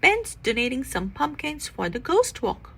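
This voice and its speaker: Boisterous papa bear for a storybook, acted by a woman: emphatic, hammy, very animated, slightly husky. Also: high voice, moderate pace, heavily acted. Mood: enthusiastic.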